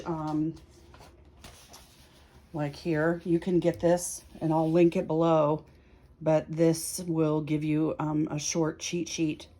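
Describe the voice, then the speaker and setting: Female tarot host, somewhat low pitch, unhurried pace, conversational and casually explanatory. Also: dark tone, slight background noise. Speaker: tarot reader and video host. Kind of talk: casual explanatory chat to camera.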